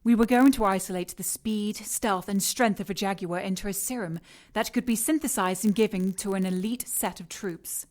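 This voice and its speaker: An actress playing a cold, seductive scientist in an audio drama, speaking with clinical precision and teasing condescension. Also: female voice, mid-range voice, moderate pace, darkish tone, close to an everyday voice. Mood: clinical, matter-of-fact. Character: cold, mocking, seductive female scientist in charge of experiments. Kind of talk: audio drama acting, sci-fi noir.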